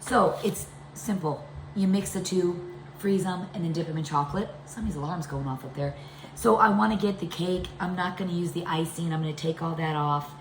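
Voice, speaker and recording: Low, congested, gravelly woman in echoey kitchen. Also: fairly quick. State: congested, deepened voice from a chest cold. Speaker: home cooking video host. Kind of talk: casual cooking demonstration talk. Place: indoors, home kitchen. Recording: echoey room with background noise.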